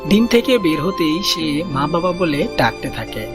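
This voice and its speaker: A man's voice: fairly high pitch, sing-song, a little slow, loud music behind the voice. A man narrating a scripted children's story as the storyteller.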